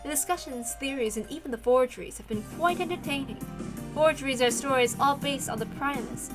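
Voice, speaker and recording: A high voice with music behind it, chatty and informative. It is a woman reading the part of an intrigued female mystery buff in a visual novel, eager to explain what she knows. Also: fairly quick, close to an everyday voice. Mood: absorbed, intrigued. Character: Ikuko, computer-absorbed woman, chatty enthusiast of mysteries.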